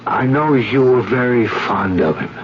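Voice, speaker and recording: An actor in a 1970s radio drama playing a somber friend bearing news of a death, halting and subdued. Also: male voice, medium pitch, lightly acted. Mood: grieving. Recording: dull, noisy old radio recording.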